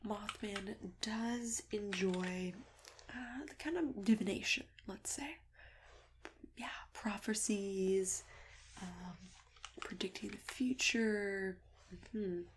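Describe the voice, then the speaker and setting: A woman playing a kindly matchmaker in an ASMR roleplay, speaking softly and often slipping into a whisper. She is gentle, unhurried and reassuring at a middle pitch, with clear noise, clicks and typing in the recording. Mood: helpful, chatty.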